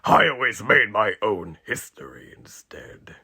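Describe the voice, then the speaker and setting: A man voicing a sinister older killer inside a haunted animatronic, rambling and theatrical with a raspy, gravelly voice, in a roleplay monologue to the listener. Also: on the low side, very animated, measured pace, sharp tone, voice pushed down, heavily acted. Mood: theatrical, menacing. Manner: rambling, theatrical, mock-friendly.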